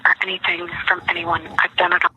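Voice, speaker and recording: A woman's voice: lowish voice, completely flat delivery, fast talker, bright, forceful tone. A woman leaving a rambling, repetitive voicemail, sounding aggrieved and accusatory, in a lo-fi recording played back. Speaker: voicemail caller. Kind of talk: rambling personal voicemail message. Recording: noisy lo-fi voicemail played over speakers.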